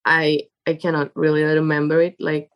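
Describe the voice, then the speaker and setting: A young woman whose first language is Indonesian, speaking English in a casual interview as the guest and often pausing to search for words. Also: sits low, monotone, highs cut off. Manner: halting, searching for words with many fillers.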